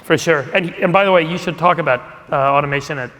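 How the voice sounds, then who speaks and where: A man's voice: a little high, fast talker, sharp tone. A man casually moderating a live panel as one of its hosts. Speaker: podcast host, moderator. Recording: reverberant conference hall.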